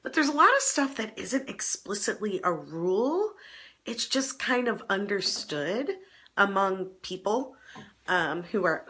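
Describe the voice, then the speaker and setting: A middle-aged woman, a bit hoarse from a cold, hosting an advice show in a chatty, self-deprecating way with small laughs and restarts. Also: medium pitch, sharp tone. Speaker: advice column host. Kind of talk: casual advice talk to camera.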